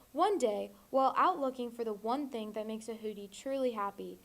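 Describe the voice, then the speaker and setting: A woman's voice: a little high, a little mellow. A teenage young woman reading a children's storybook aloud. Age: teens. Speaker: volunteer storybook reader.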